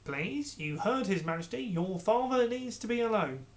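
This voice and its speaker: A narrator voicing a scheming male royal adviser who speaks slowly and soothingly. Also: mid-range voice, lively intonation, pitched above the performer's own voice, close to an everyday voice. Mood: falsely soothing. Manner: slow, measured, falsely soothing. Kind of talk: storybook character reading.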